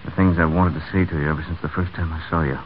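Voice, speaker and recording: An actor playing a brash, brooding, lovestruck stable hand, blunt and earnest, in a 1940s radio drama. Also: male voice, a bass voice, steady tone, rapid-fire, slight background noise. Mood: ardent. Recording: tinny, narrow 1940 radio broadcast.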